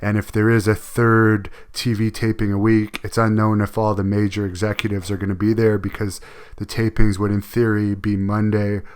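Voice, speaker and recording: A man's voice: lowish voice, little pitch movement, moderate pace, clear, resonant tone. A man hosting a wrestling news show, giving matter-of-fact commentary.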